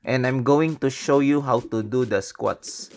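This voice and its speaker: A man's voice: mid-range voice, a little slow, darkish tone, a little hiss or hum. A man in his twenties with a Filipino accent giving step-by-step workout instructions in short phrases as a fitness coach. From Philippines. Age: 20s. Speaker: fitness coach and video host. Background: music.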